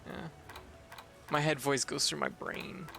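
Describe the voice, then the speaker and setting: A man's voice: a little high, steady tone, unhurried, creaky, bright, forceful tone, slight background noise. A man streaming a game, chatting casually and teasing his co-host in a playful, joking way. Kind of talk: casual chat while gaming. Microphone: streaming microphone.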